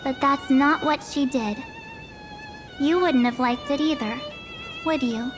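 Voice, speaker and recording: A woman's voice: high voice, slow, over music. A woman voicing an earnest, defiant young traveler in a video game, speaking assertively in casual phrasing. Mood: firm, defiant.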